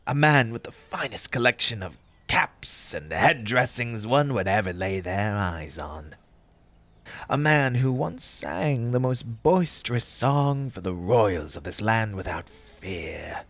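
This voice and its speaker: A man playing an eccentric, fussy tea-party host in an audio roleplay, pompous and formal in old-fashioned diction, with a breathy, husky voice and an undertone of grief. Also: lowish voice, sing-song, slow pace, fairly bright tone, exaggerated voice. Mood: reverent, wistful. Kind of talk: theatrical character audio roleplay.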